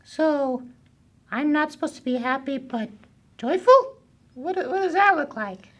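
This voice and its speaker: A woman's voice: high voice, lively intonation, unhurried, fairly bright tone. A woman voicing a childlike, hesitant young boy puppet in a children's puppet show. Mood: curious, earnest. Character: glum, confused young boy puppet named Bobby.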